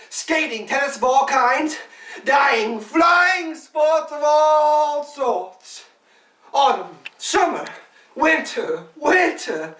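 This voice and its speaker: Frantic absurdist stage servant , played by a woman: high voice, disjointed repetitive declamation of learned nonsense, distant in an echoey room. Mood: rambling, agitated. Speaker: actor. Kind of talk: theatrical monologue performance.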